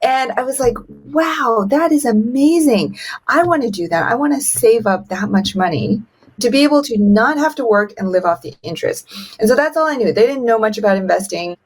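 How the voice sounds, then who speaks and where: A woman's voice: on the high side, lively intonation, fairly quick, husky. A woman in her thirties, raised in China with Mandarin as her first language, telling her life story as a podcast guest, acting out quotes with big jumps in her voice.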